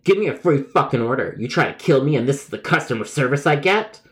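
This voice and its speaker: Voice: mid-range voice, lively intonation, fast, lightly acted. A man voicing an angry, indignant pizza customer complaining emphatically in a comedic story. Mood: furious. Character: angry profane male pizza customer, belligerent liar.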